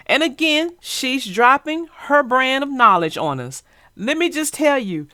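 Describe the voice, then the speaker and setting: A woman's voice: high voice, fairly bright tone, dry recording, clean studio sound. A woman hosting a children's read-aloud show, chatting casually in a folksy way. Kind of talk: casual chat about a picture book.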